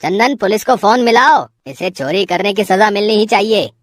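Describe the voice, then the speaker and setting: A woman's voice: mid-range voice, lively intonation, normal speed, no echo, voice pushed down, lightly acted. Stern male shop owner, acted by a woman, commanding, scolding, cartoon moral tale, dry sound. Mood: angry. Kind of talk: cartoon moral story voice acting.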